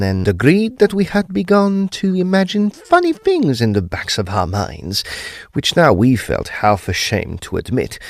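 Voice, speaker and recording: Man playing nervous grown son, audio drama ghost story, formal old-fashioned phrasing, hugely animated pitch. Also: dry recording, studio quality. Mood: storytelling. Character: polite, uneasy adult son recounting a haunting.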